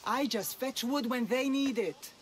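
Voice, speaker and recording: An actress playing a young, self-doubting blacksmith's daughter in a video game, wry and hesitant. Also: female voice, a little high, measured pace, close to an everyday voice. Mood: grumbling, reluctant. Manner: wry, hesitant, grumbling, sighing. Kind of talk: video game cutscene acting.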